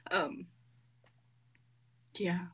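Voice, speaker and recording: A woman's voice: extremely slow, a bit bright. A woman in her twenties chatting casually with a group on an online livestream. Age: 20s. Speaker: writer and reader on a group stream. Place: indoors at home, video call.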